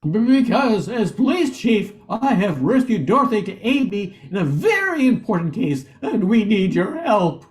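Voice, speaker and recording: A high-voiced, fretful lion police chief, acted by a man. He stammers his way through earnest radio-play lines with lively, swooping pitch. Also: moderate pace, few pauses. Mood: anxious, earnest. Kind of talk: amateur radio play acting.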